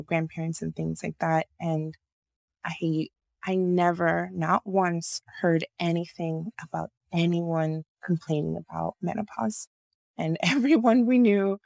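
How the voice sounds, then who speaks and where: Reflective guest, woman, middle-aged, from Nigeria, a bit gravelly, dry sound. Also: fairly low pitch, no echo. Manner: thoughtful, reflective, laughs often. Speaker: naturopathic physician guest. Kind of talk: conversational interview.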